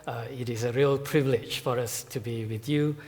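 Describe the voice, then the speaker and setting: A man giving a conference talk to pastors as a guest speaker, with a measured, halting delivery full of fillers and repeated words. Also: medium pitch, fairly even intonation, darkish tone. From Malaysia. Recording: reverberant hall sound.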